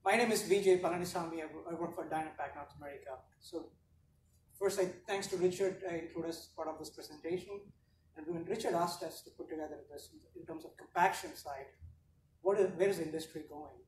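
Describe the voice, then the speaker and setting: A man introducing himself on an industry panel, speaking in a measured, reflective way. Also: fairly high pitch, darkish tone. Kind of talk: conference panel presentation. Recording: echoey distant hall livestream, faint noise.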